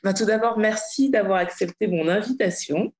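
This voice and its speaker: A woman podcast host speaks at a middle pitch. Her delivery is conversational, and the room around her voice is noticeably echoey. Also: normal speed, a little mellow. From France, Paris suburbs. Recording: roomy, echoey sound.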